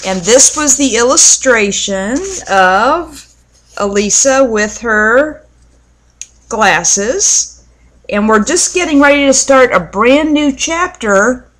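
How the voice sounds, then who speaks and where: A woman reading a children's storybook aloud to young listeners in a deliberate, explanatory way. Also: sits high.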